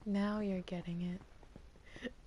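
A woman acts out a villainess who needles and insists. The voice sits at a medium pitch, and the talk is loose, improvised video game roleplay. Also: flat delivery, drawn-out words, mellow. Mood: needling. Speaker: player in a Minecraft roleplay.

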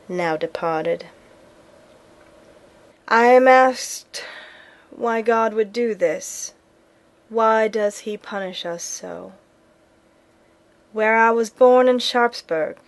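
An actress playing a weary, devout, resolute woman soldier of 1880s America, giving a solemn, reflective monologue in an audio drama. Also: mid-range voice. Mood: sorrowful, troubled.

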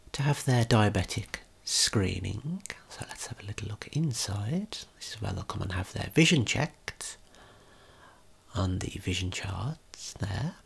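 Slow, breathy whispering ASMR guide. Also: medium pitch. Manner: gentle, unhurried, explanatory. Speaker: tour guide. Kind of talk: ASMR whispered scripted tour narration.

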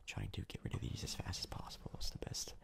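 A man giving hushed, casual ASMR game commentary in a breathy, gravelly whisper, close to the mic. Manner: hushed, casual, unhurried rambling. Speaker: gaming video host.

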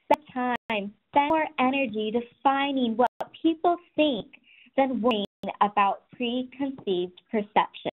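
A soft-spoken woman in her twenties giving a scripted presentation, telling stories and speaking directly to listeners. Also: fairly high pitch, unhurried. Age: 20s. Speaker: webinar presenter. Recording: tinny phone-like audio.

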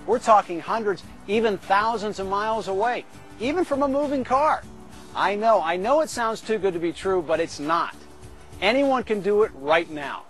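An American man in his thirties presents an infomercial in a high voice. He is emphatic and persuasive, working through a scripted pitch with music playing behind him. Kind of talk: scripted sales pitch to camera.

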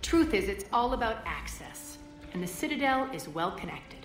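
A woman playing a haughty alien crime boss in a video game, sardonic, deliberate and commanding. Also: medium pitch, sing-song, natural voice. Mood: sardonic, cool. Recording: slightly roomy, music behind.

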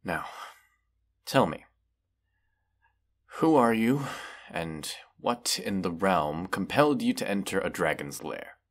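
Deep voice, proud menacing dragon, acted by a man, haughty, unhurried, deliberate pauses, fantasy audio roleplay. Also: animated, heavily acted. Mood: haughty, restrained. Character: proud, menacing wounded male dragon, weary and distrustful of humans. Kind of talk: scripted audio roleplay monologue.

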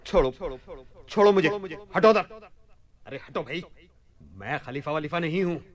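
Man playing an excitable, high-voiced befuddled merchant. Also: normal speed, close to an everyday voice. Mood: agitated. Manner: blustering, theatrical outbursts. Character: bewildered young merchant mistaken for a caliph, excitable. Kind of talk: radio play acting in theatrical Urdu-flavoured dialogue.

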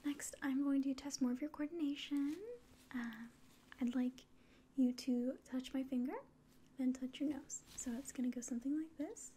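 A woman in her twenties whispering softly and breathily in a gentle medical roleplay, giving slow instructions up close. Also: sits high, fairly even intonation, breathy edge. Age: 20s. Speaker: ASMR performer playing an alien doctor giving an exam. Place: small room indoors.

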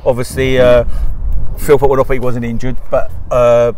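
A middle-aged man asking casual post-match questions as an interviewer outdoors on a football pitch. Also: level tone, moderate pace, a little mellow, a little hiss or hum. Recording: off-mic outdoors, people in background.

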